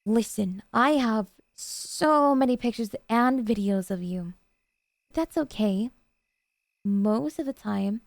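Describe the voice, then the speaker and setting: A woman playing a doting, jealous yandere girlfriend in an ASMR roleplay, coaxing and sweetly earnest with hesitant pauses. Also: a little high, vocal fry, a little mellow, no echo. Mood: defensive, insistent.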